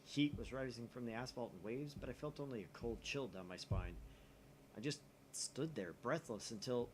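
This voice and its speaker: A man reading a visual novel aloud in theatrical, expressive narration. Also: medium pitch, moderate pace, a little hiss or hum, rough recording. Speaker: gaming channel host.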